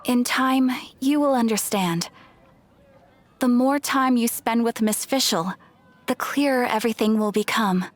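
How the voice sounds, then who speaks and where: A woman's voice: on the high side, a little slow, close to an everyday voice. A woman doing a composed guild receptionist. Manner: even, formal, courteous. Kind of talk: video game voice acting. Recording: game audio with faint music.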